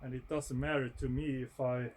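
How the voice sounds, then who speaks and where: A man whose first language is Swedish, explaining his sawmill work outdoors in a halting, casual way with many restarts. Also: mid-range voice, flat delivery, darkish tone, a little hiss or hum. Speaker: sawmill vlogger. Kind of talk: casual how-to narration while working.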